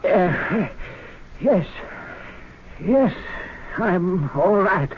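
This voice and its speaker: An actor playing a meek, nervous old servant in a radio drama, hesitant and deferential. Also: male voice, high-pitched, animated, drawn-out words, heavily acted. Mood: timid, uneasy. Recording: dull hissy vintage radio recording.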